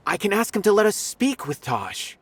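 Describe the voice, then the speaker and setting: Earnest young game guard, kinda high voice, lively. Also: male voice, normal speed, husky, exaggerated voice. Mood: disbelieving. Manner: earnest, firm questioning. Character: earnest young male guard, loyal and stern. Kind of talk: game dialogue. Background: game soundtrack.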